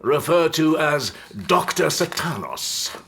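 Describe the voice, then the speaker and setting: An actor playing a genial police chief, urbane, droll and needling, in an audio drama. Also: male voice, fairly high pitch, big pitch swings, takes it slowly, a bit bright, heavily acted. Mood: briefing. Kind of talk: full-cast audio drama acting.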